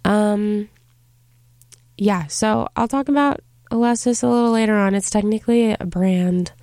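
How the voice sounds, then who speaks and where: Congested woman radio host, gravelly. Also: mid-range voice, mellow, no room sound, studio quality. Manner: halting, many fillers. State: congested from a cold. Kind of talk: casual college radio talk. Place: radio studio. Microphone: studio microphone.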